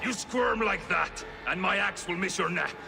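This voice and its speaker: A man playing a sneering, menacing Viking warrior in a game cinematic, with a gravelly voice and a theatrical, taunting delivery. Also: high-pitched, moderate pace, big-room sound, over loud music. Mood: sneering menace. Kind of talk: cinematic video game acting.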